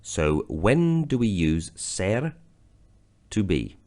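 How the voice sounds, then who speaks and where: A man from Britain narrating a slideshow grammar lesson, explaining slowly and deliberately. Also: low-pitched, big pitch swings, darkish tone. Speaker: language teacher.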